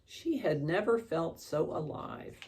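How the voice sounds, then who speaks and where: A woman reading a children's storybook aloud as an expressive storyteller. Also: low-pitched, slow.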